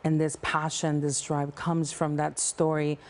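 A woman in her thirties from El Salvador, a nonprofit founder talking passionately as a guest in a TV news studio on a lapel mic. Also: low voice. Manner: passionate, fast-flowing, animated hand gestures. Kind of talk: TV studio interview.

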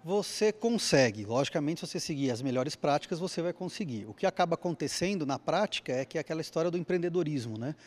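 A man's voice: a little high, expressive. A man in his forties giving explanatory interview answers as an IT security speaker, often tagging "né?", into a handheld mic in a large indoor hall. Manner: explanatory, rapid, repeats "né?".

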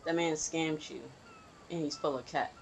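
A woman's voice: deep voice, fairly even intonation, moderate pace, husky, poor audio. A young woman gives casual reaction commentary as a gaming streamer, recorded at home with heavy noise.

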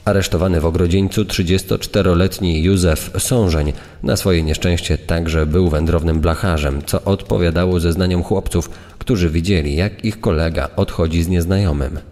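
A man plays a sombre reader of 1930s newspaper crime reports for a true-crime podcast. The voice is low and trails a long reverb. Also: steady tone, normal speed, darkish tone. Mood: sombre, factual. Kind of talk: dramatised archival reading in true-crime podcast.

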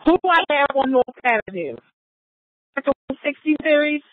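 A woman's voice: high voice, big pitch swings, normal speed, no room sound. A woman working as a police dispatcher, relaying information over police radio. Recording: tinny, narrowband police radio scanner feed.

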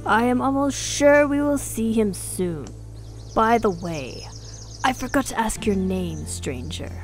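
A woman's voice: a little high, animated, a little slow, faint music behind. Woman voicing an old wizard guide, solemn and commanding. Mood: earnest, friendly. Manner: solemn, formal, storybook phrasing.

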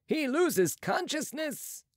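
A man's voice: very high-pitched, big pitch swings, takes it slowly, no room sound, voice pushed up, heavily acted. A man voicing a sceptical, mocking male physician who declaims in an audiobook. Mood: incredulous. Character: sceptical, scornful male physician with materialist views. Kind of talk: audiobook character voice.

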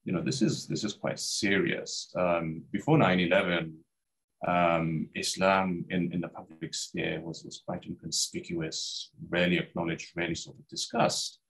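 A man's voice: deep voice, steady tone, normal speed, gravelly, fairly bright tone, poor audio. A man in his thirties from Iraq, a scholar speaking in a video-call interview from a study with bookshelves, hesitant with many fillers and restarts. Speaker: guest scholar. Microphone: webcam on video call.

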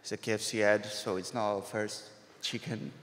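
A man in his thirties, a non-native English speaker from South America, explaining calmly at a conference as a creative director. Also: on the low side, moderate pace, background noise. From Brazil or Argentina. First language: Spanish or Portuguese. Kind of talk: conference talk. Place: stage in a large hall. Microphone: stand mic. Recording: reverberant hall.

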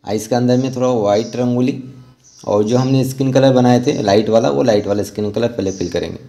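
A man with a soft-edged, mellow voice at a middling pitch talks through an art tutorial step by step. His delivery is informal and halting, with English terms mixed into his explanations. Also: gravelly. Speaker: rangoli art instructor.

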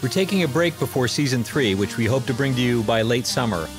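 A man's voice: medium pitch, lively intonation, fairly quick. Male announcer over music. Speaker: announcer reading the credits. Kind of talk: scripted outro announcement.

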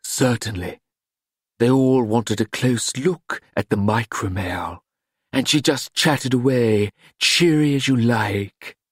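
A man's voice: mid-range voice, slow pace, husky, dry recording, studio quality. A man voicing a worldly, theatrical madam in an audiobook, grand, languid and persuasive. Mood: reassuring.

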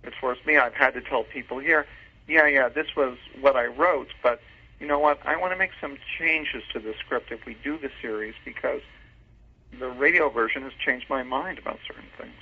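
A man's voice: medium pitch, normal speed, slight background noise. A man talking as an interview guest in a conversational, emphatic way, acting out his own thoughts. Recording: muffled, tinny phone line.

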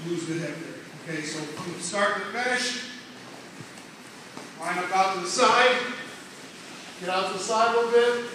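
A man's voice: high-pitched, moderate pace, pauses often, noisy background. Man coaching wrestling in an echoey gym. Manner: instructive, repeats "okay?" to check in; talks while grappling on the mat. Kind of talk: demonstrating technique to students. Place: indoor wrestling room with mats. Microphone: far camera. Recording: echoey room, distant from mic.

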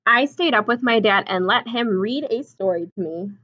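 A woman in her twenties reading a picture book aloud like a teacher, expressively, pausing to put simple questions and explanations to young children. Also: on the high side, animated, muffled recording. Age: 20s. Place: small classroom, indoors.

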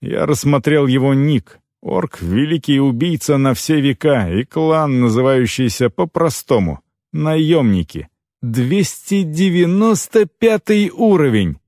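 A man reading a fantasy novel in the first person as an audiobook narrator, with an expressive, dramatic storytelling delivery. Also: on the high side, measured pace, dry recording, clean studio sound.

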